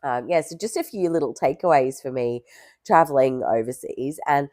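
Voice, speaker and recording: Hoarse, very low-voiced woman. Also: normal speed, a little mellow, dry recording. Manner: rambling, lots of fillers. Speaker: vlogger. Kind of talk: casual talk to camera.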